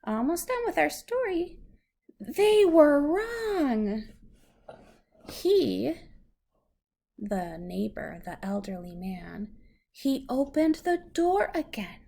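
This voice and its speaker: A woman's voice: high voice, very animated, slow, vocal fry, pauses often, mellow. A woman reading a story aloud to a young child, patiently explaining words in simple terms. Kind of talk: slow read-aloud storytelling with questions to a child.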